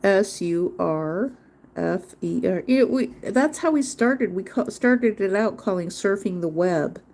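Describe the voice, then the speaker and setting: A woman's voice: medium pitch, lively intonation, measured pace, darkish tone. A woman hosting a livestream, chatting casually and rambling off topic, often spelling words out letter by letter. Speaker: livestream host teaching drawing.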